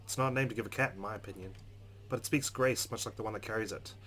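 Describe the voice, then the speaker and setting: A man doing a let's play, reading a game's narration aloud in an expressive, measured, dramatic way. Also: on the low side, slight background noise. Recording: game music under the voice.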